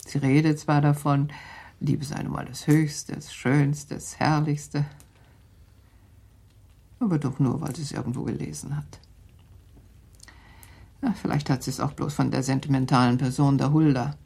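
An actress playing a shrewd, worried middle-aged aristocratic mother in a radio play, talking in a measured, confiding way that sometimes sinks to a whisper. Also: low voice, little pitch movement, vocal fry, mellow, slightly rough, heavily acted. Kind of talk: 1960s German radio drama acting.

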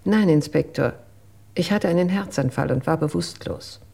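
Radio drama: composed housekeeper witness, plain-spoken woman, raspy with vocal fry. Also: low-pitched, normal speed, dark tone, exaggerated voice. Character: matter-of-fact female household employee with a weak heart.

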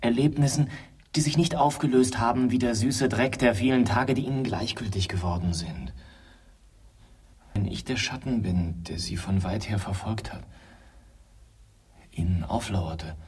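A man's voice: lowish voice, measured pace, pauses often, darkish tone, breathy edge, recorded from a distance. An actor playing an eerie, wounded young man, the shadowy double of a wanderer, in a solemn, insistent German radio drama style.

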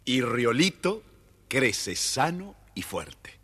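A man narrating a children's fairy tale as a theatrical, husky-voiced storyteller. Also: medium pitch, big pitch swings, extremely slow, sharp tone. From Argentina.

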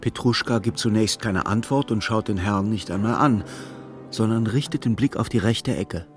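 A man narrating a literary radio drama as a measured, detached storyteller with dry irony. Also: fairly low pitch, soft background music, natural voice.

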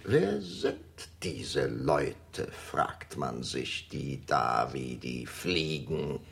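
A fawning, self-important churchman in a radio drama. His voice is deep and creaky, lingering slowly over words with unctuous delight and swinging wildly in pitch. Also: big pitch swings, heavily acted. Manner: effusive, unctuous, drawn-out savouring of words. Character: pompous, fawning high churchman, fond of courtly gossip. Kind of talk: radio play acting.

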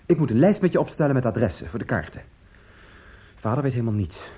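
Stern brother, radio drama: husky, mellow, admonishing and formal. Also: male voice, mid-range voice, sing-song, fast. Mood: reproachful, insistent. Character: stern, dutiful brother organising family mourning. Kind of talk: Dutch radio drama acting.

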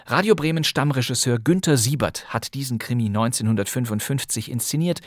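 A man's voice: mid-range voice, no echo, studio quality. A man hosting a crime podcast, introducing an old radio play in a wry, conversational way. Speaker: podcast host.

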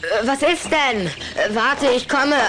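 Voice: sits high, lively intonation, slow pace, loud music behind the voice. Wary young boy in echo. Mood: worried, reluctant. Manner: hesitant, wary. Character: Anton, nervous cautious young boy, reluctant and skeptical. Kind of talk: German radio play dialogue.

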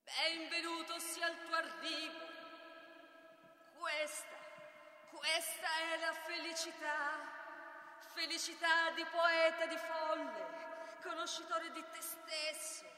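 A woman performing a solemn, mystical tragic figure in an incantatory, half-sung theatrical chant with drawn-out, repetitive phrasing. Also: very high voice, flat delivery, sharp tone, heavily acted. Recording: echoey long reverb, faint music behind.

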